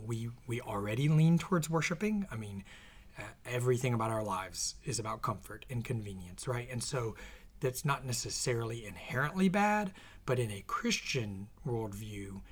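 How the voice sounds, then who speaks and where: A man talks through ideas on his podcast in an earnest, reflective way. His voice is a little scratchy and sits at a medium pitch. His sentences often trail off softly. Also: breathy edge. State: slightly scratchy voice. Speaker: podcast host and author. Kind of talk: casual podcast conversation. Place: in the same room as co-host.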